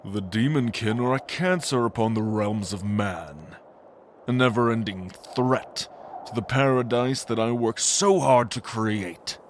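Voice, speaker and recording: Guy acting as sanctimonious old angel tyrant, lowish voice, real slow menacing speech, audio drama, bit noisy behind. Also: lightly acted. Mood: cold contempt. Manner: pompous, slow, menacing declamation. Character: sanctimonious, scheming ancient angel lord, old man.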